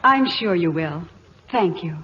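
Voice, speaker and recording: A woman's voice: fairly low pitch, animated, unhurried, bright, forceful tone, slight background noise. A woman playing a gracious, candid, romantic English noblewoman with a refined manner in an old-time radio drama. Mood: gracious, teasing. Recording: muffled old radio broadcast with music.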